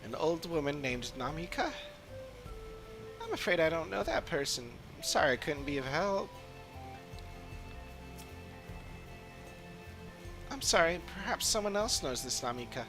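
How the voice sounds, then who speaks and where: A man's voice: on the high side, big pitch swings, moderate pace, over music, voice pushed up. A man voicing a polite, untroubled town resident in a video game, mild and matter-of-fact. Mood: polite, apologetic. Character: polite, puzzled townsfolk resident, untroubled and helpful. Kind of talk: read-aloud video game dialogue.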